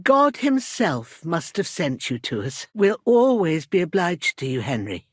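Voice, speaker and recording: A husky, breathy middle-aged village midwife in a dramatic video game. She is a protective, bitter, grieving mother who speaks slowly and earnestly, her pitch swinging with emotion. The sound is dry and close. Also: sing-song, no echo, full character voice. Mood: warm, grateful. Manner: earnest, emotional, deliberate phrasing.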